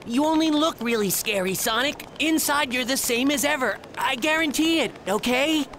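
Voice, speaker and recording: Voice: high-pitched, normal speed, fairly bright tone, slight background noise. An actor playing a small cheerful, talkative creature sidekick in a video game, hesitant and encouraging. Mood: hesitant, reassuring. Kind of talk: animated video game acting. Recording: music behind the voice.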